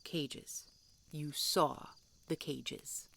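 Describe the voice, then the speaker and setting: A woman playing a guarded, tsundere dragon hunter in an intimate fantasy audio roleplay, halting and grudging, often dropping to a hushed delivery. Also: low-pitched, fairly even intonation, creaky, full character voice. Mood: guarded, reluctant. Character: prickly tsundere female dragon hunter, guarded but softening.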